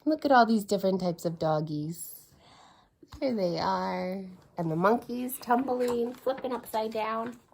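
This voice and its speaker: A woman in her thirties reading a bedtime picture book aloud to toddlers at home, gentle and sing-song, sometimes dropping to a whisper. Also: fairly high pitch, a little slow, creaky. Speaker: children's video host reading bedtime stories.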